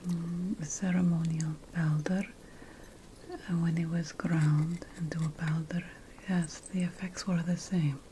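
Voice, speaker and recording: A woman playing an ancient Sumerian witch priestess in a whispered ASMR fantasy role-play, breathy, solemn and deliberate as she addresses an apprentice. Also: on the low side, flat delivery, warm tone, a little hiss or hum, close to an everyday voice, rough recording. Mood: solemn warning.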